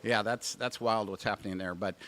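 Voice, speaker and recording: A man's voice: fairly low pitch, steady tone, brisk, fairly bright tone, a little hiss or hum. A man hosting a podcast interview, eager and upbeat, with frequent "um" and "uh" as he leads into his questions. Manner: eager and upbeat, with hesitant fillers. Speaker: podcast host.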